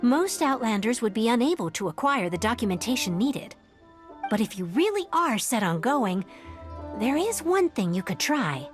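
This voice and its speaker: A woman playing a gentle young exile in a video game, speaking in an earnest, measured storytelling way. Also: a little high, big pitch swings. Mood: earnest, advising. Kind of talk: video game dialogue acting. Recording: game music behind the voice. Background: background music.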